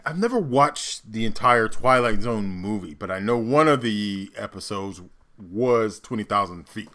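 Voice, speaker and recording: A man's voice: on the low side, animated, measured pace, pushed and bright. A man hosting a reaction video, giving casual commentary and thinking aloud in loose asides and interjections.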